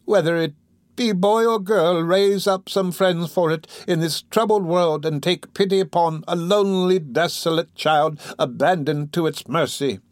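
Man voicing a dying old woman, high and groaning. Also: measured pace, no room sound, studio quality, lightly acted. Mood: pleading. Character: dying old pauper woman, feverish, guilt-ridden, rambling.

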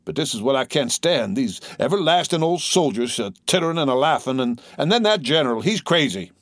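A man's voice: medium pitch, animated, fairly quick, a bit bright, no room sound, clean studio sound, voice pushed up. A man voicing an aggrieved young soldier, stubborn and complaining in a rustic dialect. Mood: indignant, stout.

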